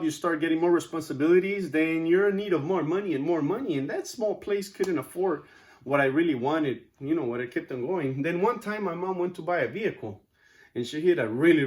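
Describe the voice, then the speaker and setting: Spanish-accented man giving a class speech. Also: a little high. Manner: informal, many fillers and asides. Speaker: student speaker.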